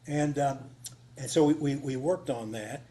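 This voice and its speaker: A man's voice: mid-range voice, a little slow, dark tone. A man giving an informal, off-the-cuff conference talk in a folksy way, repeating phrases for emphasis. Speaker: conference speaker, writer of radio dramas. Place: meeting room, live before a small group. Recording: live talk with clear hum and noise.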